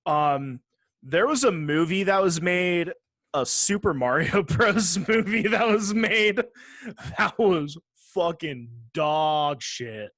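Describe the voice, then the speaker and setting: Man, podcast host, slightly higher pitch, dry close sound, casual banter, slangy, sweary, emphatic. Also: lively intonation, slow pace, a bit bright, no room sound. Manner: profane, slangy, emphatic opinions. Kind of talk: casual podcast chat about movies and games.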